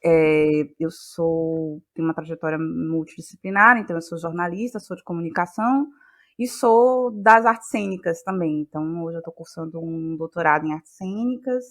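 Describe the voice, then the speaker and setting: A woman with a fairly low voice and a Bahian Brazilian accent speaks as an interview guest. She talks conversationally and reflectively, circling back and repeating phrases as she builds her answers. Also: moderate pace. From Salvador, Bahia, Brazil. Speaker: guest, playwright and actress.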